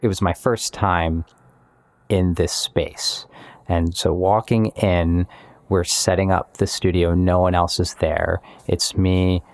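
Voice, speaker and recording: A man, a musician and composer, talking reflectively and in measured phrases about his work. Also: sits low, flat delivery, vocal fry. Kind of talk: reflective interview monologue about making music. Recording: music playing behind the voice. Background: guitar-led instrumental music.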